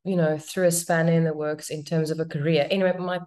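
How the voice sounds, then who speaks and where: A South African woman whose first language is Afrikaans, talking as a guest on a podcast. Her voice sits fairly low, and she speaks thoughtfully, pausing and reworking her sentences as she goes. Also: flat delivery, a little mellow. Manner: thoughtful, circling back to rephrase. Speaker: guest actress. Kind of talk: casual podcast interview.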